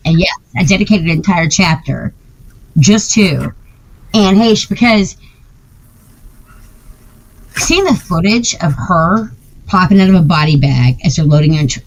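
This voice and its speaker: A woman's voice: fairly low pitch, lively intonation, a little slow, husky, rough recording. A woman hosting a casual chat, talking emphatically and insistently, often repeating her points.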